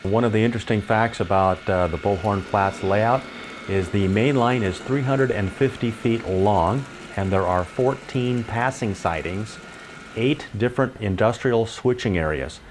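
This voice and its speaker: Low-voiced older man giving a hobby tour. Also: a little slow, background noise. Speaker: model railroader giving a layout tour. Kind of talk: informal explanatory tour narration.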